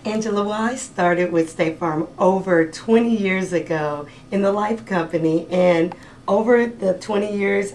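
A woman's voice: on the low side, a little mellow, slight background noise. A woman in her forties who owns an insurance agency, answering interview questions steadily into a handheld mic. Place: office room.

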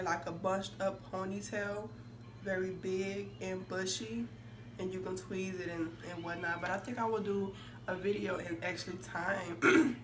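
A young woman with a somewhat hoarse, congested voice chatting casually and emphatically to camera as a beauty vlogger. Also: medium pitch. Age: young adult. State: hoarse from a cold, clearing throat. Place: indoor room with curtains. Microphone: phone facing her, a few feet away. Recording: distant phone mic, noisy room.

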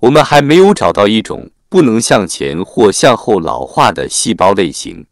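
A man narrating a written article in a formal, measured read-aloud manner. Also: on the low side, sing-song, no echo, studio quality.

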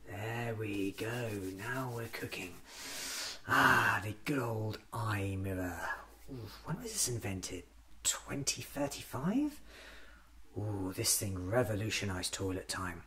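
A man in his thirties doing an ASMR roleplay as a scatterbrained, excitable alien doctor, muttering to himself in fragments, often in a whisper. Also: fairly low pitch, slow pace. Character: scatterbrained, excitable time-travelling alien doctor, newly regenerated. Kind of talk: ASMR roleplay acted as a monologue.

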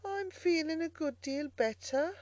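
A woman's voice: high-pitched, moderate pace, a little mellow, no room sound, pitched above the performer's own voice, lightly acted. A woman voicing a toad disguised as a meek washerwoman in a storybook, polite, pleading and deferential. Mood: feeble, grateful. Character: meek washerwoman, a male toad's humble disguise.

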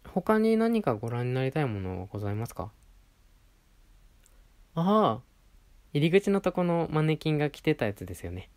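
A man playing a polite, lively-pitched male shop assistant. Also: moderate pace, long pauses. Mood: helpful, friendly. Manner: polite customer-service speech, attentive and courteous. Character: polite, attentive male clothing shop assistant serving a regular. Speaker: voice performer in a situation voice audio. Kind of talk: Japanese situation-voice roleplay addressed to the listener.

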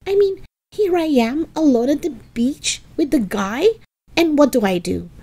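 A woman's voice: fairly high pitch, lively intonation, takes it slowly, darkish tone, voice pushed up. A woman voicing a bossy, dramatic young girl, brash and scornful, in a storybook reading. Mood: anguished self-reproach.

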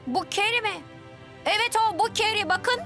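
A woman's voice: extremely high pitch, moderate pace, over music. A woman voicing an eager, chatty little boy twin in a children's cartoon dub, chirpy and childlike.

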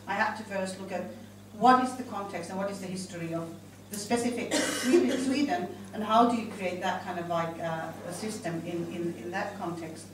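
A woman's voice: medium pitch, normal speed, few pauses, distant mic. Finnish-accented woman, middle-aged, informal panellist feeling her way through ideas, heavily reverberant room with faint noise. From Finland. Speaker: panel speaker, institution director. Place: indoor conference room. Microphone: handheld microphone.

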